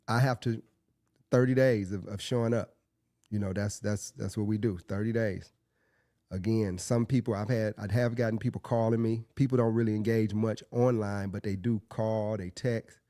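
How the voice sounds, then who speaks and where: A man's voice: lowish voice, warm tone, dry recording, clean studio sound. A man hosting his own podcast in a loose, casual way, under the weather and sniffly, often restarting his sentences. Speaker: podcast host. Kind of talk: casual solo podcast talk.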